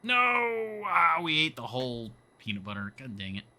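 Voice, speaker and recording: A man's voice: medium pitch, wildly swooping pitch, normal speed, sharp tone. A man livestreaming a survival game with casual commentary, thinking aloud and chatting to viewers. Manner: thinks aloud, talks to chat.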